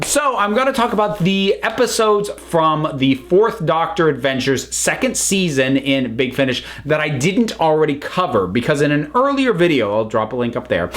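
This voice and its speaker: A man giving a casual, unscripted review, chatty and rambling as the host. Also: on the high side.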